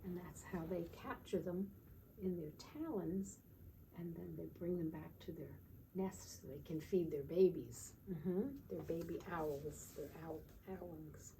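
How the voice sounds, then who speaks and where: A woman teacher leading storytime for young children, gently explaining and often checking in with little questions. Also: fairly low pitch, a little slow. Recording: distant mic with faint background noise.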